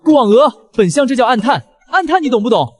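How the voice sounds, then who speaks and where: Haughty, animated young chancellor voiced by a man. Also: extremely high pitch, brisk. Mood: flustered indignation. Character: proud, prim chancellor, secretly a rabbit spirit. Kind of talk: dubbed historical romance drama acting.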